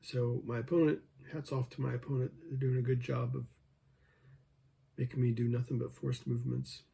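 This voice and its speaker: A man who is losing his voice, hoarse, thinking aloud over an online chess game and joking with himself as he plays. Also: medium pitch, level tone, moderate pace, mellow. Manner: mutters his thoughts, talks to himself, jokes self-deprecatingly. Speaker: chess video creator.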